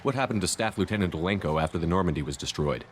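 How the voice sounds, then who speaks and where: A man voicing a steady, resolute military commander in a sci-fi video game. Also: low voice, racing, a little hiss or hum. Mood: calm.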